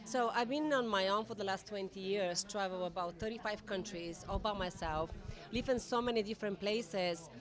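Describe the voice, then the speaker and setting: A woman's voice: mid-range voice, moderate pace. A woman with a Bulgarian accent giving earnest, unscripted interview answers at a red carpet event. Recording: crowd chatter behind voice.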